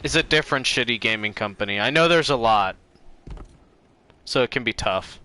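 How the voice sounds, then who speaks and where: A man's voice: mid-range voice, moderate pace, pushed and bright, clear, resonant tone. A man chatting casually and a bit ramblingly to viewers while livestreaming a video game. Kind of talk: casual chat to stream viewers.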